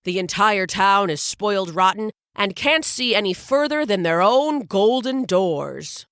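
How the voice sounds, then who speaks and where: A woman voicing a stern, angry male king of the gods who rumbles and scowls. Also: expressive, measured pace, fairly bright tone, no room sound, clean studio sound. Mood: scornful.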